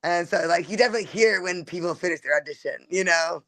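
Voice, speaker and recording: A woman comedian talks in a gravelly voice on a podcast. She stumbles through stories with many restarts and acts out the quotes herself. The sound is dry and close. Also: lowish voice, fast talker, a bit bright, no room sound. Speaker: stand-up comedian guest. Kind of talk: casual podcast chat.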